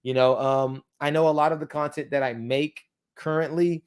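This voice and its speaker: A man's voice: a little high, steady tone, normal speed, clean tone. A man hosting a casual tutorial for his viewers, his speech slightly thickened by dental aligners, rambling with many ums and you-knows. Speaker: crypto channel host.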